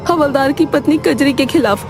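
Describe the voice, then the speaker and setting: An indignant, protective village mother in a cartoon, played by a woman, complains in a high voice. Music is clearly audible underneath. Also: normal speed. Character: indignant village mother defending her son. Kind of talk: cartoon voice acting.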